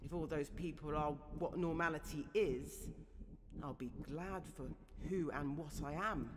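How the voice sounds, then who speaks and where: An actor playing a gentle, innocent unborn child in a radio drama monologue. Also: medium pitch, a little slow, close to an everyday voice. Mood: wistful, accepting. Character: gentle, innocent unborn child, hurt yet self-accepting. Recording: noisy, long reverb.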